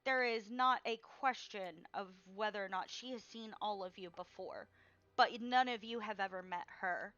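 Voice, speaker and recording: A woman narrating a tabletop role-playing game as game master, informal and halting, pausing between phrases. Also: medium pitch, bright, forceful tone, muffled recording.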